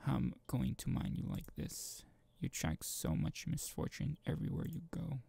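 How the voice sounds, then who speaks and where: A man's voice: mid-range voice, huge pitch swings, moderate pace, vocal fry, warm tone, husky, exaggerated voice. A man voicing a stern, controlling mother in an amateur visual novel read-aloud, commanding and belittling. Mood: scornful.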